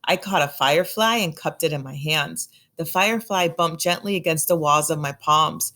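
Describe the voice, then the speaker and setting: Female storybook reader, low register, expressive read-aloud for young children. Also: normal speed.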